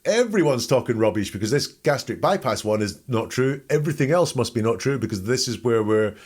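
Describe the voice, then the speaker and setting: A man's voice: medium pitch, expressive, a little fast, darkish tone, breathy edge. A man hosting a podcast, giving informal, wry analytical commentary and reading out quotes to pick them apart. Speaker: podcast host.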